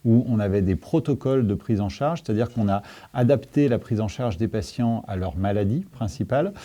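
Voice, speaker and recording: Man, panel guest, low very mellow voice, hesitant, careful wording, explanatory answer. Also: fairly quick.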